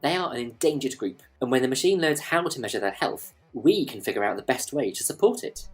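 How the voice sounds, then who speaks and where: An earnest scientist with a very low, husky voice. She explains things patiently in an audio play, with lively rises and falls. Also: fairly quick, full character voice. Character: earnest adult whale researcher explaining her work.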